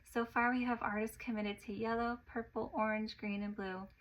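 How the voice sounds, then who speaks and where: A woman's voice: on the high side, little pitch movement, moderate pace, lightly acted, rough recording. A woman voicing a confident female art studio owner who talks directly and persuasively.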